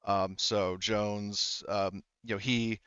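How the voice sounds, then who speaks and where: Low, smooth-voiced man running a tabletop game. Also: little pitch movement, a bit bright, no room sound, dull audio. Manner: halting, circles back and rephrases. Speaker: game master. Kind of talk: improvised tabletop game narration, casual.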